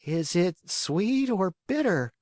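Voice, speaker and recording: A man's voice: high-pitched, very animated, slow, a little mellow, no room sound, studio quality, pitched above the performer's own voice, exaggerated voice. A man voicing a stubborn wooden puppet boy with a whining, petulant voice.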